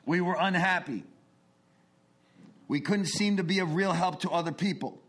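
A man in his forties giving an earnest, confessional talk about his recovery, swinging between intense bursts and hushed asides. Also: high-pitched, moderate pace. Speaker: recovery speaker. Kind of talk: personal testimony talk.

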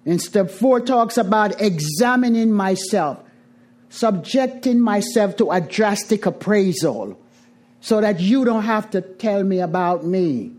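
A middle-aged woman with a Jamaican accent, speaking to a recovery group in a deliberate, emphatic way and repeating phrases for weight. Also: medium pitch, lively intonation, a little mellow. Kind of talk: twelve-step recovery talk to a group.

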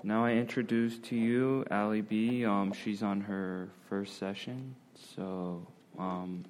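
A man's voice: lowish voice, fairly even intonation, slow, a little mellow, a little hiss or hum. A man chairing a meeting, making plain announcements with some hesitant fillers. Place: meeting room.

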